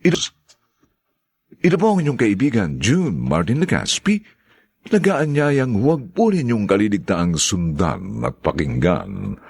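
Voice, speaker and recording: A man hosting a radio drama, announcing credits and greeting listeners in a formal, measured way on a close studio mic. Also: fairly low pitch, huge pitch swings, a little mellow. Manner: slow, measured, gravelly delivery.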